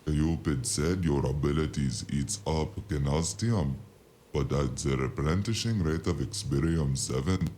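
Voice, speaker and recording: Man playing a very deep underworld fixer. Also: measured pace, dark tone, a little hiss or hum. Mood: stern. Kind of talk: audio drama acting.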